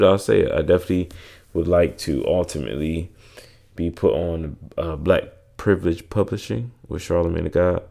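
Stuffed-up young guy podcasting solo, super low voice, rambly, lots of um and like. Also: gravelly, dark tone. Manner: rambling, many fillers and restarts. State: congested, sore throat. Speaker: podcast host. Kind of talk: casual solo podcast chat.

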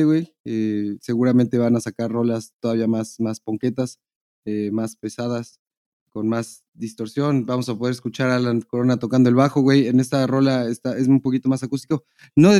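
Mellow-voiced Mexican man, casual podcast talk. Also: medium pitch, smooth. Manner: informal, slangy, profane. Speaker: podcast co-host. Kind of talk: casual chat.

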